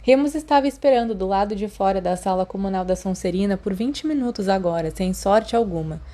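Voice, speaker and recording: A Brazilian woman narrates fiction in a scripted reading. Her voice is mellow and dark, sitting in an ordinary middle pitch for a woman. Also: fairly quick. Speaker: audiobook narrator.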